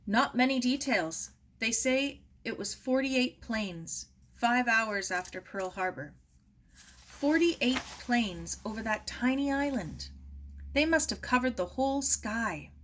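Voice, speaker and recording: A woman's voice: a little high, animated, slow pace, a bit bright, voice pushed up, exaggerated voice. Woman acting out a bitter, emphatic grieving uncle. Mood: grave. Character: grieving, irritable uncle, bitter and helpless father.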